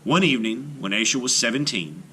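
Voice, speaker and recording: Male storyteller for children's read-aloud: bright, mid-pitched, expressive intonation, faint noise behind. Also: a little fast, poor audio. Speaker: storyteller, art teacher.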